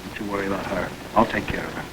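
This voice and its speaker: An actor playing a breezy, wisecracking, sardonic ex-convict gangster in an old-time radio drama. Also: male voice, low voice, fairly even intonation, rapid-fire, fairly bright tone. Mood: grim. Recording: noisy vintage radio recording.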